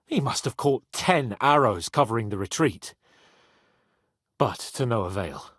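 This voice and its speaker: An actor playing a proud, hot-headed young medieval nobleman in a dramatic video game, with a haughty and expressive delivery. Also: mid-range voice, a little slow, slightly rough, no room sound, studio quality. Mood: earnest, resolute. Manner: haughty, expressive, swearing freely.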